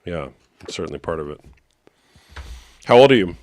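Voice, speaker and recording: Low-voiced guy hosting a call-in, relaxed, asks quick blunt questions, dry sound. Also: dry recording. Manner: relaxed, steady, asks short direct questions. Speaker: host of a live call-in show. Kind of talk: casual call-in talk.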